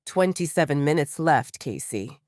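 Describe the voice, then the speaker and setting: A woman narrator acts out a male pack leader with a hard temper. The voice drops low and speaks with stern, unbending authority, dry and close, in audio drama style. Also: animated, normal speed, darkish tone, no echo. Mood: firm warning.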